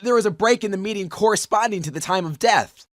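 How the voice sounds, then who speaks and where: A man's voice: high voice, fast talker, no echo, clean studio sound, close to an everyday voice. A man playing an earnest, determined young defense attorney, arguing dramatically in court in an amateur game fandub. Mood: pointed, confident. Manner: declamatory courtroom argument.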